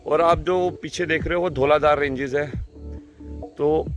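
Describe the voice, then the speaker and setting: Young male vlogger, informal and chatty, higher register, outdoor phone sound with music behind. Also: steady tone, unhurried. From Himachal Pradesh, India. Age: young adult. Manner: chatty, informal, addressing viewers. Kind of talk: casual outdoor vlog chat. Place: outdoors, rural farmhouse yard and fields. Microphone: phone at arm's length. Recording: phone video with music under voice.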